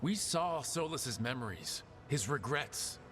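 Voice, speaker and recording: A man's voice: medium pitch, sing-song, a little slow, fairly bright tone, slight background noise. A man playing a determined, heroic protector in a video game, speaking directly and insistently as he pleads a case. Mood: earnest, persuasive. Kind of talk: dramatic video game acting.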